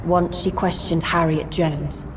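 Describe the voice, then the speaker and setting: An actress playing an ancient, wise vampire woman of the sewers in a video game, measured, archaic and cryptic in speech. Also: low-pitched, little pitch movement, over music, rough recording. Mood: grave. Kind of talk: video game drama acting.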